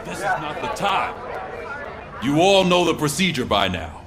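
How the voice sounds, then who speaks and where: An actor playing a gruff, authoritative police sergeant giving orders in a video game. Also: male voice, fairly high pitch, very animated, unhurried, fairly bright tone, soft background music, a faint crowd. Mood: stern, commanding. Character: gruff, commanding police sergeant, middle-aged man.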